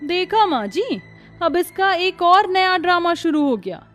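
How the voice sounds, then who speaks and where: A woman's voice: a little high, big pitch swings, moderate pace, soft background music. A woman voicing a scheming, mocking aunt in a cartoon, sneering and falsely sweet. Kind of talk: Hindi cartoon voice acting.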